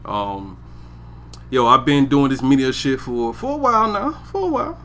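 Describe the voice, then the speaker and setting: A man's voice: medium pitch, big pitch swings, moderate pace, fairly bright tone, a little hiss or hum. Laid-back man rambling on a podcast. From Georgia, USA. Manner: laid-back slang, keeps saying "you know what I'm saying". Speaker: podcast co-host. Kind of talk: casual chat.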